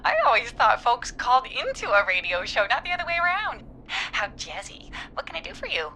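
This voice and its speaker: An actress playing a perky, flirty, evasive jazz studio owner in a video game, with a theatrical, gushing manner. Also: female voice, on the high side, sing-song, fairly quick, bright, forceful tone, a little hiss or hum, full character voice.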